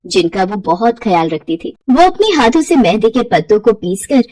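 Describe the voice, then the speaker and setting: A woman's voice: mid-range voice, sing-song, normal speed, darkish tone, no echo, recorded from a distance. A woman narrating scripted moral tales for cartoons in a storytelling style.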